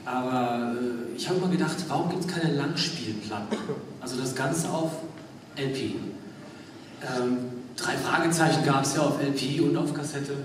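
A man's voice: mid-range voice, moderate pace. A man putting a casual, unscripted question to a convention panel. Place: large convention hall. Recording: echoey hall from far off, noisy.

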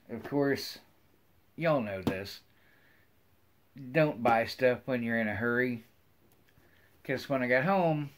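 A middle-aged American man talks to his viewers in a casual, folksy way. He takes his time, speaking slowly at an average male pitch. He rambles and circles back over his own phrases. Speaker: hobby vlogger. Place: wood-paneled room at home, indoors.

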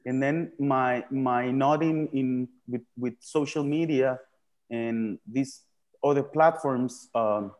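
A man from Colombia talks on a video call from a small room, his voice sitting at an ordinary middle pitch. He thinks aloud, stopping and restarting often and leaning on fillers as he works toward each idea. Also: darkish tone. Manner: halting, many fillers and restarts. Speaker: panel guest. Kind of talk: reflective panel discussion over video call. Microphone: video call webcam.

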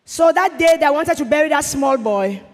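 A woman's voice: sits high, lively intonation, normal speed. Cameroonian woman testifying in a reverberant hall. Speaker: witness testifying for a friend. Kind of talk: earnest narrated testimony. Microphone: handheld microphone held for her. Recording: echoey large church hall.

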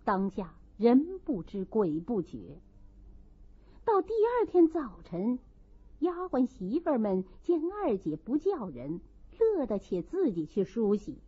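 A woman reads a classic novel with a lively, swooping range at an unhurried pace. Her fairly high voice has a husky edge, and she narrates dramatically. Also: lo-fi sound. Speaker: audiobook narrator.

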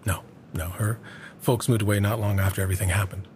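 An actor playing an earnest older small-town man in an audio drama, hesitantly recalling a dark local legend. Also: fairly low pitch, fairly even intonation. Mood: somber, reminiscing. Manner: hesitant, halting storytelling. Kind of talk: naturalistic audio drama acting. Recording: clear background ambience noise.

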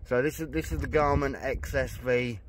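A man's voice: medium pitch, moderate pace. A man on a small boat outdoors, giving a casual, rambling review of his chart plotter, with fillers and self-corrections. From England. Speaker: fishing vlogger. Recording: outdoor noise on the water.

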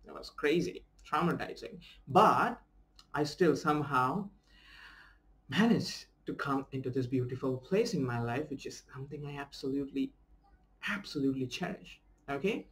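A man's voice: medium pitch, animated, normal speed, a bit bright. A young adult man from India, a native Bengali speaker with an Indian English accent, giving an earnest, enthusiastic self-help talk as a life coach and often checking in with "okay?". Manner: earnest and enthusiastic, tags sentences with a questioning "okay?". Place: small room at home, indoors, seated in a desk chair.

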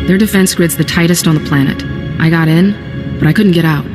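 A woman's voice: lowish voice, fairly quick, lightly acted. A woman acting a guilt-ridden, frightened resistance informant in a video game, tense and remorseful. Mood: defensive, remorseful. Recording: long reverb, music behind.

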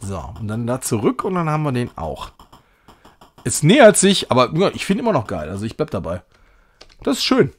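A man comments casually on his gameplay for a live stream. His pitch moves around in a very lively way, sitting in a medium register. He is chatty and jokey, with a self-ironic, mock-grand streak. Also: a little fast, breathy edge. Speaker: game streamer.

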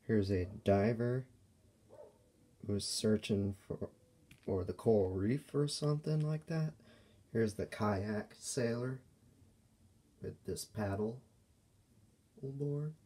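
A man giving a casual, unscripted show-and-tell as a toy reviewer, speaking in halting, broken phrases. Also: fairly low pitch, mellow, breathy edge. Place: indoors at a table by a window.

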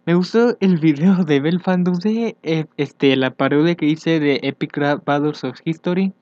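A young man chatting casually as he reads social media aloud for a vlog, hesitant and thinking out loud. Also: a little high, measured pace, no room sound. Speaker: fandub YouTuber. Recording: dull, lo-fi home microphone.

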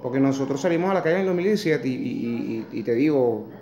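A man's voice: mid-range voice, lively intonation, quick pace, mellow. Indignant Venezuelan man ranting on phone. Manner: indignant, blunt, swears, sarcastic mocking asides. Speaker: vlogger. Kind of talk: angry opinion rant to camera. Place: kitchen at home indoors. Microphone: phone camera held close. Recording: echoey room on phone.

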